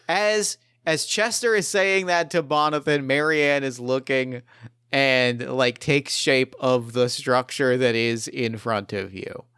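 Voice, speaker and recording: Slow, clear-voiced man narrating a game. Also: mid-range voice, a bit bright, no echo, clean studio sound. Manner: deliberate, halting, dramatic phrasing. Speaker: game master and podcast host. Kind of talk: improvised tabletop game narration.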